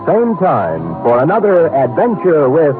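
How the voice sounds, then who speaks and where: A man's voice: a little high, very animated, measured pace, over loud music. A man announcing promos and credits in a polished, formal 1950s radio style. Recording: tinny old 1950s radio recording.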